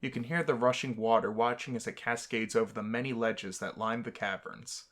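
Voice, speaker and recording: A man's voice: medium pitch, fairly even intonation, brisk, a bit bright. A man reading a visual novel aloud as a gaming host, in a storytelling manner.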